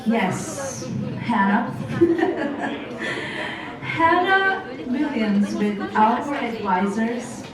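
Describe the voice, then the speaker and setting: A woman with a high voice introduces speakers as an event host, taking her time. She sounds distant in a strongly echoing hall. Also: expressive, extremely slow. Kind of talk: event announcements. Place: large event room with audience. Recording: echoey hall, distant mic, audible crowd.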